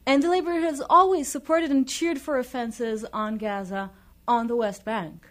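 A woman from Israel with an Israeli accent, speaking as an interview guest in a deliberate, emphatic, explanatory way. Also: a little high, steady tone, a bit bright. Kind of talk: explanatory political discussion.

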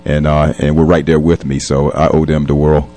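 A man speaking as a guest in a reflective radio interview, pausing often and choosing his words in a measured, hesitant way. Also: extremely low pitch, level tone, dark tone. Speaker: police captain and community leader, interview guest.

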